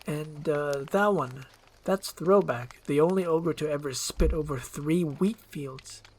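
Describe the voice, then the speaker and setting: A performer voicing a gruff, grumpy, defensive male ogre in an acted screenplay reading. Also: medium pitch, measured pace, darkish tone, close to an everyday voice. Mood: matter-of-fact.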